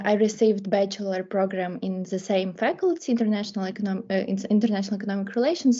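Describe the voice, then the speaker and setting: A woman's voice: mid-range voice, dark tone. A woman, a master's student introducing herself in a webinar in a friendly way, with a few fillers. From Ukraine. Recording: muffled lo-fi webinar audio.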